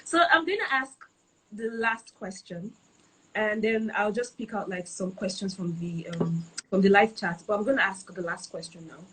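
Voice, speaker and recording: A woman's voice: mid-range voice, moderate pace, a bit bright, slight background noise, rough recording. A woman hosting a live-streamed interview who eagerly repeats and rephrases her questions. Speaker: interview host.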